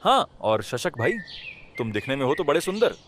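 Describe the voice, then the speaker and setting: Kindly poor villager for a cartoon, played by a man: mid pitch, very lively delivery, folksy and exclamatory. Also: normal speed, slight background noise. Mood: gentle. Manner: exclamatory, rustic colloquial. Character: kind, tender-hearted poor village man. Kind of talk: animated moral story acting.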